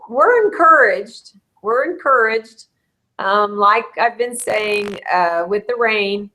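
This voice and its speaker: A woman, hoarse and losing her voice, hosting a casual bloom-status chat with a friend. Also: mid-range voice, a bit bright. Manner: chatty, quick to laugh. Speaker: host of a bluebonnet bloom update. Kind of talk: casual live chat with a co-host.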